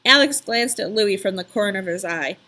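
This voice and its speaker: A woman narrating a children's story aloud in an expressive, emphatic storytelling style. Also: medium pitch, brisk.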